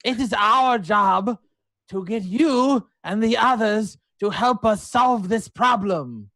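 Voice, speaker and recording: A man acts out a haughty young nobleman in a tabletop game, very high in pitch and bright. His delivery swings widely and is pompous, formal and emphatic. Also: lively intonation, takes it slowly, dry recording, heavily acted. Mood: insistent. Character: haughty, pleading young male aristocrat adventurer.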